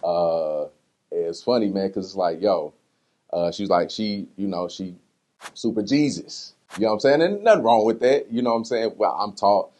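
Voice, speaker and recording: Male interview guest, low-pitched voice, slangy and conversational, telling personal stories informally. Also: normal speed. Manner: slangy, conversational, animated retelling with acted-out quotes. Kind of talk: casual candid interview talk answering personal questions.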